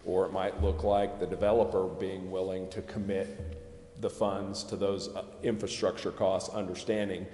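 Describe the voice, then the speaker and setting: A man's voice: sits low, little pitch movement, normal speed, dark tone. A man giving an explanatory presentation at a council meeting in a chamber. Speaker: presenter explaining a project plan. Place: large indoor council chamber. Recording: very echoey hall with background noise.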